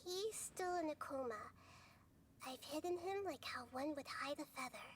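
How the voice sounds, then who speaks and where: A woman voicing a small, childlike female god for a video game, wise, composed and enigmatic, speaking in articulate, gently formal phrases. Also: sits high, lively intonation, moderate pace, a little hiss or hum. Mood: calm, assured. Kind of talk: video game voice acting, measured explanatory dialogue.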